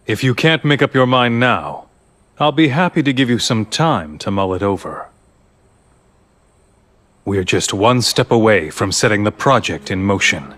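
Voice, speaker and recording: Slow, formal, low-voiced male schemer. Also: a bit bright. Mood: smooth, confident. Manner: formal, measured, eloquent, grandiose. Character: calm, persuasive male leader behind a grand scheme. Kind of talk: dubbed video game cutscene acting.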